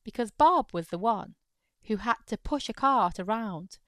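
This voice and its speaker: A woman narrates a children's picture book with playful expression. Her voice is fairly high and moves widely in pitch, while her pace stays slow. The recording is very dry. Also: animated, fairly bright tone, no echo. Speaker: children's storytime host and narrator. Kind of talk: animated read-aloud narration of a picture book.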